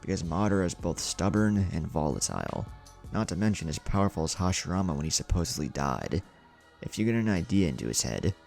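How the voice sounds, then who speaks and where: A man's voice: deep voice, big pitch swings, brisk, creaky, darkish tone, soft background music, heavily acted. A man voicing a stern, short-tempered male ninja elder with a blunt, scolding way of talking in a story reading. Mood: exasperated. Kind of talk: fan fiction story reading.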